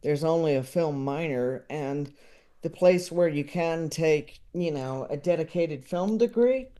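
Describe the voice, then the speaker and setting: A man chatting casually as a podcast guest, talking in a halting, rambling way. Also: fairly high pitch, dark tone. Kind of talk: casual interview chat.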